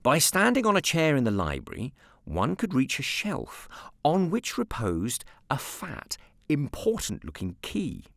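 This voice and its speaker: A British man narrating a story as an audiobook reader, expressive, measured and wryly articulate. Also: a little high, creaky, no room sound, clean studio sound.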